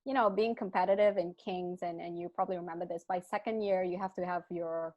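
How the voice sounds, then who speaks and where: A young adult woman from Malaysia with a mixed British and Malaysian accent, speaking as an interview guest in an animated, restarting way. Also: fairly low pitch, fast talker, dry recording. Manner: animated, frequent restarts, laughs between thoughts. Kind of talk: casual interview talk. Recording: dull lo-fi dry sound.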